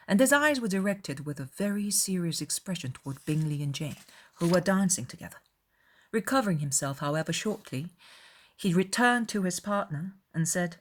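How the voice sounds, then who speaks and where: A woman reading scripted literary narration as the narrator of a dramatized audiobook. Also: on the low side.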